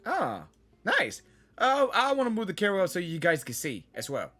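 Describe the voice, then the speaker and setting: A woman gamer giving casual, excitable reaction commentary, often saying "like" and "dude". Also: lowish voice, moderate pace, soft background music. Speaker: gamer reacting to a game on camera.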